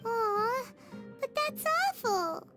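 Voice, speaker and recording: A woman voicing a tiny, excitable female fairy companion in a video game, with a squeaky voice. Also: very slow, exaggerated voice. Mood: dismayed. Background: game soundtrack music.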